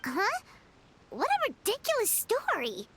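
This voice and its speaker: A woman voicing a small, pert, suspicious childlike fairy companion with a squeaky, exclamatory delivery in a video game. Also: wildly swooping pitch, measured pace, a bit bright, slight background noise, full character voice. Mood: scornful. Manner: exclamatory, speaks in third person.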